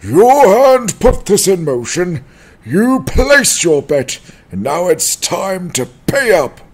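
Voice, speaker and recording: A man voicing a hostile, sneering townsman with a rough husky voice in a dramatized fantasy audiobook, accusing and mocking. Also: high-pitched, big pitch swings, slow pace, heavily acted. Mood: sneering, demanding.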